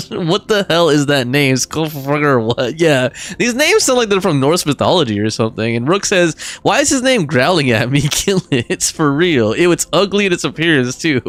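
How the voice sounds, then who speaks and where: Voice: mid-range voice, a bit bright. A game streamer chatting and reacting casually on a livestream, slangy and informal. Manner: slangy, informal, reading chat aloud. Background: game music.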